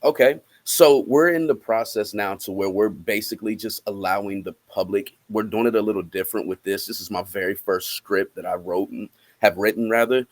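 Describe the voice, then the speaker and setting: A man with an American accent speaking casually as an interview guest, halting as he thinks aloud. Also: fairly low pitch, no room sound. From Cincinnati, United States. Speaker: interviewed musician and rapper.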